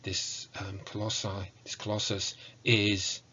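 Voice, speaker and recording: A man in his forties giving an academic lecture in a measured, formal, scholarly way, recorded at home on a computer mic. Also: fairly low pitch, completely flat delivery, sharp tone, highs cut off, a little hiss or hum. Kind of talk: academic lecture on video game music. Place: book-lined room at home, indoors.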